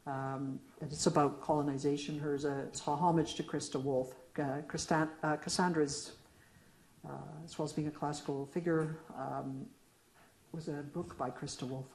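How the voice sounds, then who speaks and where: A middle-aged woman with a low, mellow voice reads her poetry aloud at a public reading. She shifts between the questions and answers of a dialogue poem, and her casual remarks between pieces are full of small fillers. The sound is roomy, with an echo from the room. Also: steady tone, moderate pace. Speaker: poet reading her work. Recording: echoey room.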